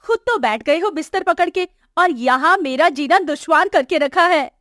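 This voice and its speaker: A woman's voice: sits high, animated, moderate pace, a bit bright, dry recording, studio quality. A woman voicing an arrogant young working daughter-in-law in a moral story, scornful and complaining. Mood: exasperated. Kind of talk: acted moral story dialogue for animation.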